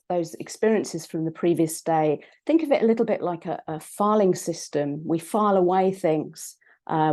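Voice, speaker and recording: A woman with a British accent, a psychologist and coach giving an online talk, croaky from a cold and hesitant, with many ums and restarts. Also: lowish voice, warm tone. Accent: British English.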